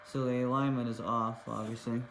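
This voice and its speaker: Male hobbyist, off-the-cuff and puzzled, muttering through a demonstration in a room with clear radio noise. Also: medium pitch, level tone, moderate pace. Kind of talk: casual, unscripted demonstration commentary. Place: small indoor room at a workbench.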